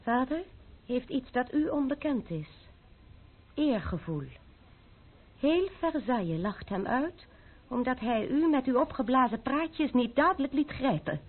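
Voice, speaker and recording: A woman's voice: sits high, animated, measured pace, husky, heavily acted. An actress playing a proud, defiant young French noblewoman in a Dutch radio play, haughty and scornful in manner. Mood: haughty, reproachful. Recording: narrow-band, muffled old radio recording.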